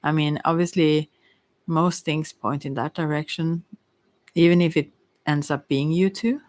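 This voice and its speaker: A psychic tarot reader speaking in a gentle, consoling ASMR style, rambling and often correcting themselves while giving unscripted advice. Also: mid-range voice, fairly even intonation, a little slow.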